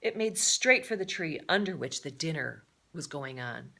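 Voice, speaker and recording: A woman reading a children's adventure novel aloud as a storyteller, expressive and given to explanatory asides to her listeners. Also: deep voice, moderate pace, creaky.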